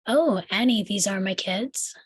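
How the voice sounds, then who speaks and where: A woman's voice: mid-range voice, a little slow, lightly acted. A woman playing a falsely cheerful, competitive socialite stepmother in a screenplay table read, sugary and politely needling. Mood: breezy cheer.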